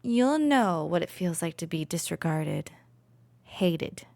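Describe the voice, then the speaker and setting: A woman playing a cruel, possessive royal villainess in an ASMR roleplay, condescending and taunting with soft, menacing asides. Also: lowish voice, animated, takes it slowly, gravelly, dry recording, heavily acted. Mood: bitter, menacing. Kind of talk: ASMR roleplay, dramatic monologue to listener.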